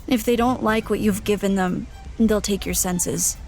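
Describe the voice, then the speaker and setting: A woman plays a small human lost in strange lands in an audio drama. The voice sits at a middle pitch, measured in narration and hesitant in questions. Faint music runs underneath. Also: steady tone, darkish tone, lightly acted. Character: small, wary, practical human traveler lost in a landfill. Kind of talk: audio drama first-person narration and dialogue.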